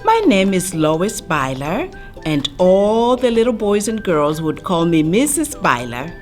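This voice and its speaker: Slow, lively woman addressing young children. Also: medium pitch. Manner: simple, explanatory, with questions to the listening children. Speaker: refugee center worker reading a picture book to children. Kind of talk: read-aloud and talk addressed to young children.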